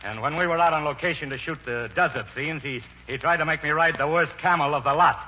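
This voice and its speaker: An actor playing a vain, excitable, theatrical star of stage and screen in 1940s radio drama style. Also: male voice, on the high side, quick pace. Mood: excited, breezy. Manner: theatrical, blustering. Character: flamboyant, vain, excitable male stage-and-film star on the run. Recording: muffled, hissy 1940s radio recording.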